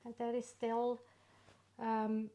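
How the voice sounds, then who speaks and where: A middle-aged woman from Switzerland with a Swiss accent, an artist being interviewed about her work, speaking unhurriedly with pauses and fillers. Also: on the high side, monotone. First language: not English. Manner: unhurried, warm storytelling with frequent pauses and fillers. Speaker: artist guest. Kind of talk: casual interview.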